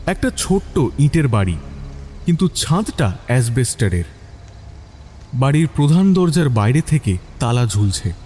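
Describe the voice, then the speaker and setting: A man's voice: mid-range voice, very animated, normal speed, dark tone, husky. A man reading a scripted dramatic story as its narrator.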